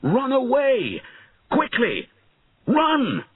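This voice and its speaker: A man voicing a principled older knight in a storybook, loudly admonishing and pleading. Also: mid-range voice, sing-song, very slow, breathy edge, dry recording, voice pushed up, exaggerated voice. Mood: urgent. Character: stern, principled older knight, the boy king's mentor. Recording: narrow, phone-like lo-fi audio.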